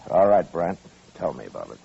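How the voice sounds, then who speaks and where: Deep, stern Old West lawman. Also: sing-song, brisk, vocal fry, dark tone, husky, exaggerated voice. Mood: firm, probing. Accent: American. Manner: firm, probing, authoritative. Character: stern, level-headed Old West lawman, a grown man. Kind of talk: 1950s radio western acting. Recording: muffled old-time radio recording.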